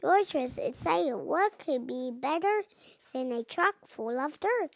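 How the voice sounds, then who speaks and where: A four-year-old girl reading a picture book aloud, haltingly, sounding out and repeating words. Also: high-pitched, expressive, creaky. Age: young child, four and a half. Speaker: child reader.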